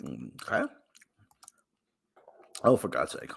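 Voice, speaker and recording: A man hosting a casual live-stream podcast, chatting loosely before a reading. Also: slow pace. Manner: lively, chatty, talks baby talk to his cat in a high voice. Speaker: podcast host.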